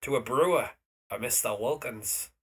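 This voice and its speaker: A man's voice: mid-range voice, normal speed, fairly bright tone, slightly rough. A man playing a gossipy, opinionated Victorian tavern landlord, telling tales knowingly in an audio drama.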